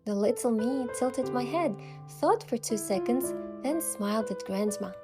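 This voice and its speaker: Earnest young heroine, high voice. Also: female voice, animated, measured pace, music in the background. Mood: wistful. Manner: earnest, careful storytelling. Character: sincere, sensitive young woman designer narrating her story. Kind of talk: scripted first-person game narration.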